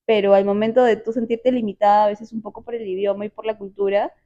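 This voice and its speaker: A woman from Peru with a Peruvian accent, telling a personal story in casual chat, animated and quick to repeat words. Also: mid-range voice, lo-fi sound. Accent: Peruvian Spanish.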